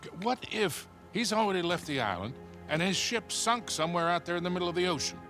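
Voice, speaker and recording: A brisk, sardonic older adventurer. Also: male voice, sits high, animated. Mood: exasperated. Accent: American. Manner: blunt, sardonic. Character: gruff, exasperated older male adventurer, sardonic and blunt. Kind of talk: video game cutscene acting. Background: music.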